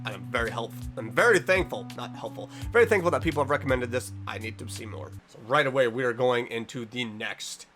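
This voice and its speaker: A man's voice: medium pitch, expressive, brisk, hardly any pauses, fairly bright tone, soft background music. A man hosting a reaction video, reading text aloud and commenting casually, sometimes stumbling over words and correcting himself. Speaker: online reaction video host.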